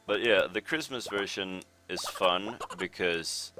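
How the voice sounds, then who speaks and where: A young Swedish man with a Swedish accent commentating his speedrun in a relaxed, rambling way, with many pauses and fillers. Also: sits low, noisy background. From Sweden. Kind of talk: casual live commentary over gameplay. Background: game music.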